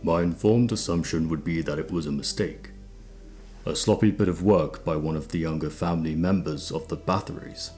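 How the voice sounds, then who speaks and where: An actor playing an old, kindly, knowledgeable monster expert in an audio drama, speaking in a measured, formal way. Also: male voice, low-pitched, a little mellow, faint music behind, close to an everyday voice. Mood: grave, knowing. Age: older. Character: old pipe-smoking male vampire expert, measured, kindly. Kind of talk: audio drama character acting.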